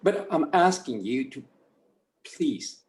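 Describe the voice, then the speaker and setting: A man playing a humble, ashamed old grandfather in a screenplay read, hesitant and gently pleading. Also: fairly high pitch, sing-song, slow pace.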